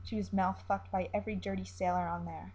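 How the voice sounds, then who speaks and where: A woman storyteller reading a dark, dramatic tale as scripted narration. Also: medium pitch, flat delivery, brisk.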